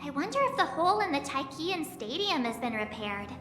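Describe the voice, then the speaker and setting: A woman's voice: sits high, sing-song, fairly quick. An actress voicing an upbeat young woman on a spaceship crew, in a chirpy, eager manner. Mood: curious. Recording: echoey reverb with game music.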